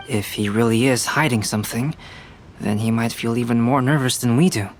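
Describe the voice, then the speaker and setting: Man as calm, measured young scholar. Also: mid-range voice, a little hiss or hum, natural voice. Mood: pensive. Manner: measured, formal, deliberate. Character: calm, analytical young male alchemist and illustrator. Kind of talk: video game voice acting.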